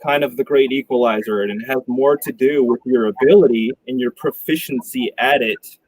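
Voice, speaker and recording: A young man talking as a guest on a video call, relaxed and slangy, pausing on fillers. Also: mid-range voice, a little hiss or hum, poor audio. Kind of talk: casual conversation over video call.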